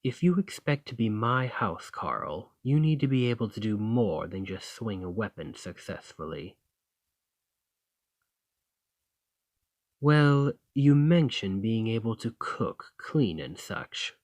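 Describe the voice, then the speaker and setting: Man acting as a haughty low-voiced fantasy lord, slow-paced. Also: expressive, darkish tone, no room sound. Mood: demanding, curt. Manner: commanding, formal, condescending, teasing. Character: haughty, dominant fantasy thane testing a new servant. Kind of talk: ASMR roleplay acting, slow and intimate.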